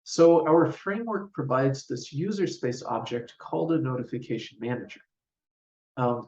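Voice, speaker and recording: A man giving a scripted technical conference presentation in a measured, formal, explanatory way. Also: mid-range voice. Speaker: researcher presenting a paper. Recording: roomy, distant mic, dull sound.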